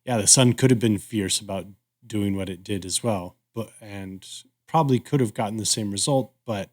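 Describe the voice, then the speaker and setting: A man co-hosting a podcast, discussing casually in an agreeable, thoughtful and somewhat halting way. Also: lowish voice, a little mellow. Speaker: podcast co-host.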